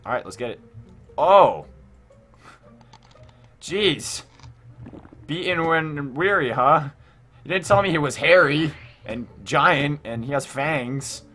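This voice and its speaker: A man hosting a casual gaming let's play, thinking aloud in an excitable, repetitive way. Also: on the high side, slow, pauses often. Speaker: gaming video host. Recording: music behind the voice.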